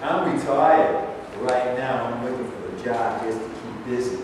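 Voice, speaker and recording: A man's voice: mid-range voice, a little slow, close to an everyday voice. Man playing a frail, chatty old man, echoey hall. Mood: friendly, chatty. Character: frail elderly retired man, chatty, friendly bar regular. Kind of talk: staged play reading. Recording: echoey hall from far off, noisy.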